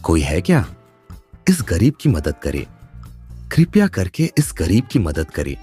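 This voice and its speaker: A man voicing a poor village farmer, a husband and father, pleading and plaintive in an animated moral story. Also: low-pitched, measured pace, breathy edge, soft background music, exaggerated voice.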